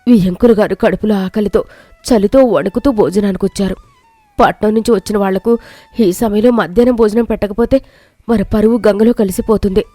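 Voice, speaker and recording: A woman voicing an anxious older village mother-in-law in an animated storybook. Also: medium pitch, fairly quick, dry recording, faint music behind, close to an everyday voice. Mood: panicked.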